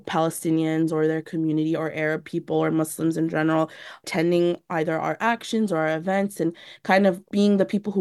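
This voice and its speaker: A woman's voice: lowish voice, a little mellow, no echo, studio quality. A young woman from San Diego, an organizer being interviewed, answering casually with lots of "you know" and "like". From San Diego, United States. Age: young adult. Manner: conversational, many "you know" and "like" fillers. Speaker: community organizer, interview guest.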